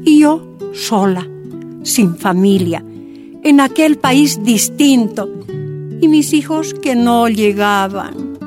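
An actress voicing an older woman leader who recalls her exile in first person, slow and sorrowful. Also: a little high, lively intonation, over music. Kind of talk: radio drama narration in first person.

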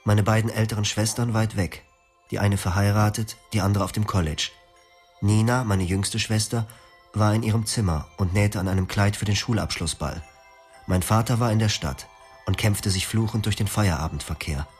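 A man narrating his memories in the first person, reflective and quiet. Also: fairly low pitch, steady tone, moderate pace. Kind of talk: scripted memoir narration. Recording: music behind.

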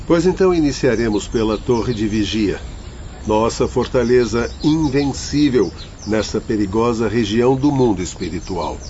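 A male actor plays a courteous, formal guide in a radio drama. His mid-pitched voice is slightly dull, with faint birdsong behind. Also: expressive, normal speed, mellow, slight background noise, lightly acted. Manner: formal, polite. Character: courteous, confident male guide of spirit realm. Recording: dull lo-fi, outdoor birdsong ambience.

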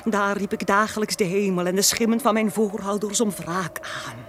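A woman's voice: mid-range voice, steady tone, moderate pace, fairly bright tone, natural voice. An actress playing a grieving, dignified noblewoman in an old-fashioned radio drama, with solemn declamation. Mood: mournful.

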